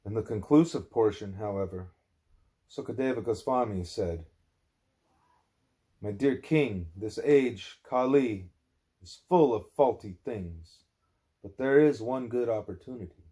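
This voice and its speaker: A man reading a spiritual discourse aloud as an audiobook narrator, with deliberate, measured phrasing. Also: fairly low pitch, expressive, warm tone. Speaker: audiobook reader.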